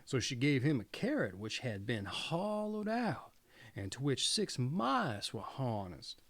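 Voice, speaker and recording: A man's voice: mid-range voice, big pitch swings, measured pace, a bit bright, no room sound. A man reading a fairy tale aloud as a storyteller.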